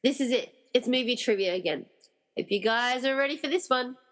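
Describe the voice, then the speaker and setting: A woman's voice: fairly high pitch, fairly quick, a bit bright, close to an everyday voice. A woman playing an unfazed, weary rescuer of friends in a conversational comedy radio play. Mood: unimpressed.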